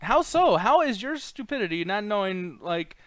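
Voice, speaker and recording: A man's voice: sits high, big pitch swings, moderate pace, over a noisy crowd. A man hosting a casual chat show, rambling and swearing as he argues. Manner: rambling, profane, argumentative. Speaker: show host. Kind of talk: casual chat, bantering argument.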